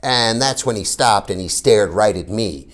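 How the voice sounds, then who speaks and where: A read-aloud host reading a children's storybook in an animated, expressive style. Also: medium pitch, moderate pace.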